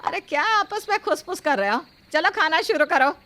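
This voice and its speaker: A woman's voice: extremely high pitch, expressive, normal speed, sharp tone, faint music behind, voice pushed up, exaggerated voice. A woman playing a proud, touchy middle-aged mother in a cartoon family comedy, with an insistent, boastful way of talking. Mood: bossy.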